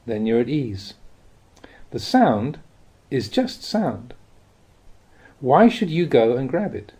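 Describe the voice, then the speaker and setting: Mellow man reading spiritual teachings, expressive intonation. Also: mid-range voice, husky. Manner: measured, instructive delivery with deliberate phrasing. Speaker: reader of Buddhist teachings. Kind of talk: scripted narration, audiobook reading of spiritual teachings.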